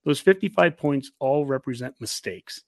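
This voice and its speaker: A man's voice: mid-range voice, animated, moderate pace, a little mellow, dry recording, clean studio sound. An American man hosting a casual college football talk show, speaking emphatically and repeating points for stress. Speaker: podcast host.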